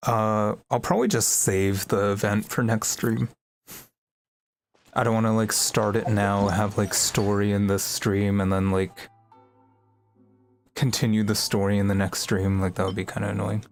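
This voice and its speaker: A man's voice: on the low side, flat delivery, moderate pace. A man livestreaming a video game, chatting casually and musing aloud in a laid-back way. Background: game music.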